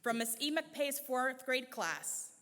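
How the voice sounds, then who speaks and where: A woman giving a formal, measured introduction at an awards ceremony in a large church hall. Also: high-pitched, expressive, bright, forceful tone. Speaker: event presenter. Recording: long reverb of a church hall.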